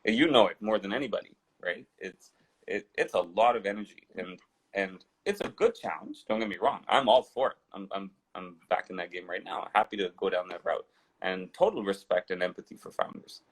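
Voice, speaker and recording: Interview guest: man, 30s, deep voice, chatty and digressive, restarts often. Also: brisk, slightly rough, poor audio. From Dubai and India. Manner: digressive, rambling with frequent restarts. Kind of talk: casual storytelling interview.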